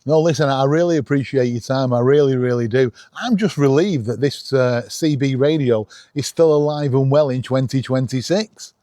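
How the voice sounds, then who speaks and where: A man's voice: medium pitch, moderate pace, few pauses, mellow, no room sound, studio quality. A middle-aged man, chatting casually and enthusiastically as a self-deprecating YouTube host.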